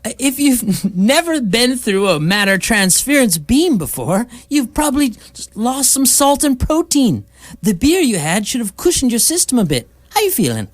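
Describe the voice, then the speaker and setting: A woman's voice: fairly high pitch, very animated, normal speed, dry recording. Breezy, unflappable space hitchhiker played by a woman, dry close sound. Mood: matter-of-fact. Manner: matter-of-fact, explanatory. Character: breezy, unflappable seasoned galactic hitchhiker and guide researcher. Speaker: radio drama actor. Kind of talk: radio comedy dialogue.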